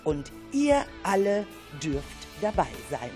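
A woman's voice: mid-range voice, big pitch swings, slow pace, mellow, soft background music. A woman presenting a children's radio play in a scripted, encouraging way, speaking directly to her young listeners. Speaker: presenter accompanying schoolchildren in an audio play.

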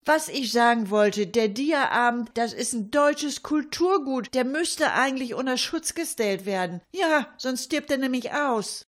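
A woman's voice: high-pitched, lively intonation, unhurried, few pauses. A woman playing a chatty older lady in a comic monologue, rambling colloquially and addressing her listeners with mock indignation. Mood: nostalgic, grumbling.

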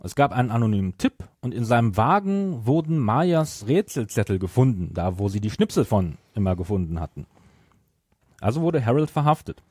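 A man's voice: medium pitch, very animated, a little fast, a little mellow, breathy edge, no echo, studio quality. A man hosting a podcast, retelling a story from notes and at times searching for words. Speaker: podcast host.

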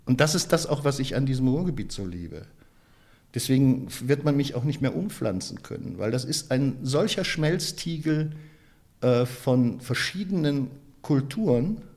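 A man from the Ruhr area, giving a reflective interview and often pausing with hesitations and restarts. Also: medium pitch, expressive, a little mellow. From Ruhr area, Germany. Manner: thoughtful, many hesitations and restarts. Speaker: interviewee, photographer and author.

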